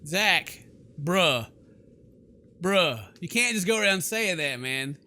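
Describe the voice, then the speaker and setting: A man's voice: sits high, lively intonation, slow, bright, forceful tone. A man doing jokey, bro-ish game commentary and expressively reading story text aloud as a let's player.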